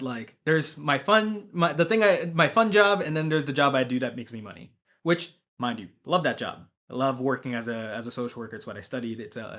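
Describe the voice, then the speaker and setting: A man's voice: medium pitch, a bit bright. A young man telling stories in a documentary interview, a laid-back tournament organizer.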